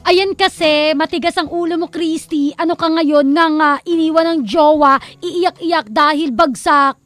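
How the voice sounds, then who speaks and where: A high-voiced Filipino woman co-hosts a radio advice show over soft background music. She talks in a colloquial, conversational style. Her delivery is emphatic and admonishing. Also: unhurried. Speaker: radio co-host. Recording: music playing behind the voice.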